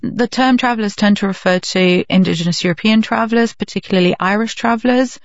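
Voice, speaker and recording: A young woman from Romania, an academic author, explaining history clearly and knowledgeably in a radio interview. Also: mid-range voice, fast talker, no room sound, lo-fi sound. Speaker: author and researcher, guest.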